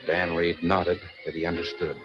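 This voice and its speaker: A man's voice: low-pitched, monotone, moderate pace. A man giving suspenseful, declamatory scripted narration in the style of an old-time radio serial. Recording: dull vintage radio, music behind.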